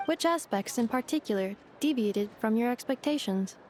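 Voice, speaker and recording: A woman voicing a polite female robot guide in a video game, formal, measured and analytical. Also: on the high side, lively intonation, darkish tone, slight background noise, lightly acted. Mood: matter-of-fact. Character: polite, precise young female robot guide, literal and composed. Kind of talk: video game character dialogue. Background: music.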